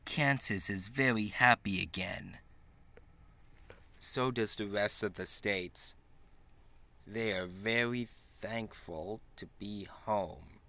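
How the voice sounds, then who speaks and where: A man reading a children's picture book aloud in a halting, deliberate way, with small stumbles. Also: lowish voice, vocal fry, sharp tone. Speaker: storybook reader.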